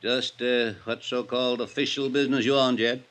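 An actor playing an earnest, uneasy farmer husband with a rural American accent, in a folksy, hesitant 1950s radio drama style. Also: male voice, medium pitch, fairly even intonation, moderate pace, noisy background, natural voice. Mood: grave, neighborly.

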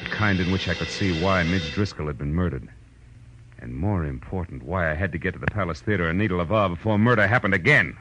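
A man's voice: deep voice, quick pace, natural voice. A man playing a wry, hard-boiled private detective in a 1940s radio noir drama, with clipped tough-guy delivery. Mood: tense, brisk. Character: hard-boiled male private detective, wry and sardonic, narrating his case. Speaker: lead actor and narrator. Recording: dull vintage radio recording with music.

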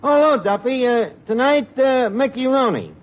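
An actor playing a slangy, wisecracking tavern manager with a New York accent and a hesitant drawl, in 1940s radio comedy. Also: male voice, very high voice, animated, normal speed. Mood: wry, chatty. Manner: drawling, hesitant, malapropism-prone wiseguy. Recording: muffled noisy old radio recording, live audience. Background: laughing studio audience.

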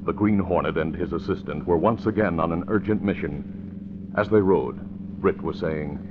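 A man's voice: low-pitched, normal speed. An American man narrating and announcing a vintage radio adventure serial with dramatic, emphatic delivery. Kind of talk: 1940s radio scripted narration. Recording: muffled, noisy vintage radio recording.

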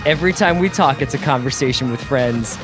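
A man's voice: mid-range voice, brisk, music in the background. An American man hosting a podcast in a casual, jokey, exuberant manner. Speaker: podcast host. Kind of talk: casual chat and sponsor read.

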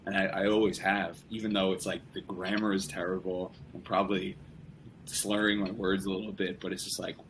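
A man's voice: deep voice, little pitch movement, a little fast, a bit bright, slight background noise, poor audio. A young man as a podcast guest, talking casually in rambling sentences with many restarts. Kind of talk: casual conversation.

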